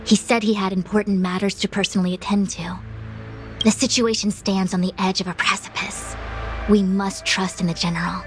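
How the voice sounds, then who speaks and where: A woman voices a resolute fantasy game strategist. She sounds formal, commanding and earnest at a medium pitch, over clear background music. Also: flat delivery, fairly quick, lightly acted. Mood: grave, formal. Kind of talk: dramatic game dialogue.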